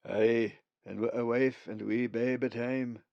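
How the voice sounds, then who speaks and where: A man's voice: medium pitch, steady tone, unhurried, gravelly, no room sound, muffled recording. A man doing a hearty Scottish raven. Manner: hearty, loud, dialect-heavy. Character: warm old male Scottish raven spirit.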